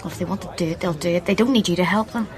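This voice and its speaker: An actress with an Irish accent playing a distressed, blunt, sceptical woman in a radio play, her voice breathy and husky. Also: fairly low pitch, rapid-fire, crowd noise, close to an everyday voice. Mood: angry, challenging. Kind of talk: radio play acting with first-person narration.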